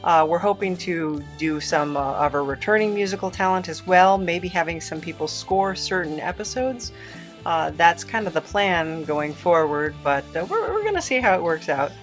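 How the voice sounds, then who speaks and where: A woman's voice: on the low side, expressive, normal speed, soft background music. A woman hosting a podcast, chatting casually and informally to listeners with some hesitancy. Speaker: host and podcast creator.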